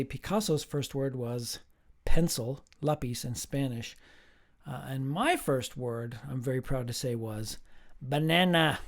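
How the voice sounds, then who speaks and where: A man, a professor, giving a slow, emphatic recorded lecture with playful asides. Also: medium pitch, darkish tone.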